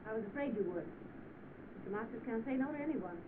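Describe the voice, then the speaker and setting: An actress playing a brisk, dry, skeptical office secretary in 1930s film style. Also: female voice, fairly high pitch, lively intonation, lightly acted. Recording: noisy narrow-band old film soundtrack.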